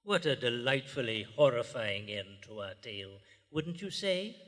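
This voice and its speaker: A man's voice: mid-range voice, lively intonation, normal speed, fairly bright tone, close to an everyday voice. A man playing a theatrical horror host who relishes the macabre, in old-time radio style, in a reverberant theater. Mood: ghoulish relish. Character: gleefully macabre male horror-show host.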